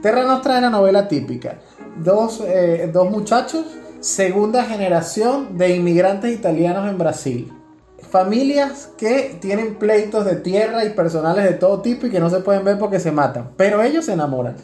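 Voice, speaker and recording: A man's voice: sits high, lively intonation, normal speed, darkish tone. A man with a Venezuelan accent co-hosting a podcast, explaining things in a casual, conversational way. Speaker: podcast co-host. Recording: roomy echo, faint music behind.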